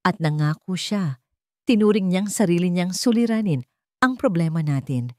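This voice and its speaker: A humble widowed mother from a storybook tale, played by a woman narrator. Her voice is low and dry, with a gentle, earnest way of speaking to her children. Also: animated, normal speed, no echo, natural voice. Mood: earnest hope. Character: humble, anxious widowed mother regaining hope. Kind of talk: dramatic storybook dialogue.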